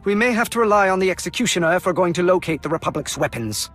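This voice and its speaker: A stern Imperial colonel from a sci-fi game, with a high voice that moves in wide, lively swings. He speaks formally and in clipped military phrases, over background music. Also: fast. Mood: measured. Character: brisk, officious male Imperial colonel, dutiful and stern. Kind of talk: video game cutscene acting. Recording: music behind the voice.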